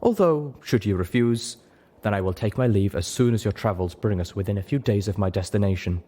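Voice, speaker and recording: A man's voice: fairly low pitch, fairly quick, dark tone, close to an everyday voice. A man voicing a reserved zebra mother who speaks formally and steadily, with sorrow held in. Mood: composed.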